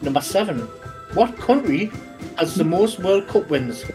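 Brief: male quizmaster, playful and theatrical, bouncy pitch, light music. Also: sing-song, a little slow. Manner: playful, theatrical quiz delivery. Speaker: quizmaster host. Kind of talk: comedy podcast quiz.

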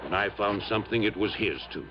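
A man's voice: lowish voice, fast talker, close to an everyday voice. An actor playing a middle-aged miner mourning his partner in an old radio drama, emotional and folksy, repeating himself. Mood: mournful. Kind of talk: 1950s-60s radio drama acting. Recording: muffled, noisy vintage radio recording.

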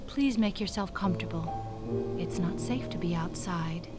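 A woman playing a hospitable but guarded character in an audio drama, speaking gently and carefully in short phrases. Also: fairly low pitch, a little slow, lightly acted. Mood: concerned. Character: hospitable, guarded woman receiving a visitor at home. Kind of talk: dramatic audio fiction acting. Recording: loud music behind.

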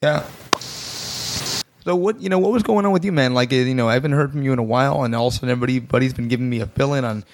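A man hosting a podcast, raspy and a bit sick, asking rambling, halting questions in casual chat. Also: medium pitch. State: sick with a cold. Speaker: podcast host. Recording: noisy recording.

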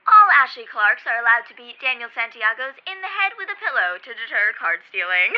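High, lively, stubborn girl, muffled sound, woman acting. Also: a little fast. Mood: playful. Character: playful, stubborn girl bickering over made-up card game. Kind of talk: naturalistic audio drama acting.

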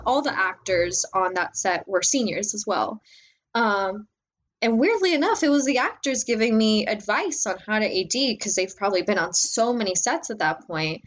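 A young woman speaks in a loud voice, relaxed and chatty. She rambles with lots of "like"s and quick restarts, as a guest in a casual interview about her work on film sets. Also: medium pitch. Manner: animated, filler-heavy, many "like"s. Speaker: assistant director guest.

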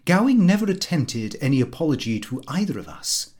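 Animated man reading a fussy comic diary. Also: on the high side, brisk. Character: fussy, easily affronted middle-class Victorian gentleman diarist. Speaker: audiobook reader. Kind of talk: scripted narration of a comic diary in character.

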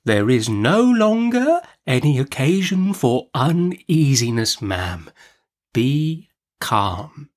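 Man playing a meek, slow, timid doctor. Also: a little high, big pitch swings, pitched above the performer's own voice, exaggerated voice. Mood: nervous, soothing. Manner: soft, hesitant, drawn-out deferential delivery. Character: meek, mild, timid male doctor, easily flustered.

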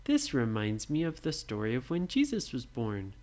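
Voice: mid-range voice, huge pitch swings, normal speed, a little mellow. A storyteller voicing two helpful young brothers in a bedtime story, easygoing and cheerful.

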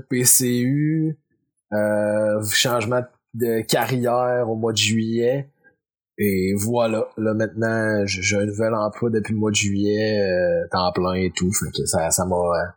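A man from Quebec with a Quebec French accent, a podcast guest answering casually, halting and repeating words. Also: on the low side.

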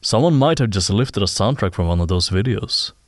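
A fairly low, dry-sounding male voice, an actor playing a keen audio expert in an audio drama. He is thoughtful and slightly hesitant as he works through a puzzle. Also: expressive, fairly quick, no echo. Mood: explaining.

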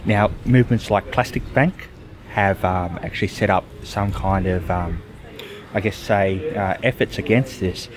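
A man's voice: deep voice, measured pace, crowd noise. A man, a futurist guest giving earnest, musing interview answers with many fillers. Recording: noisy background.

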